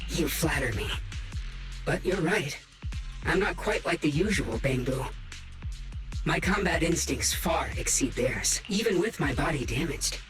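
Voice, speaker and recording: An actor playing a small damaged robot companion, composed and quietly proud, speaking formally in a video game. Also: medium pitch, expressive. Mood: courteous, composed. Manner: formal, polite, measured. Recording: echoing voice over game music.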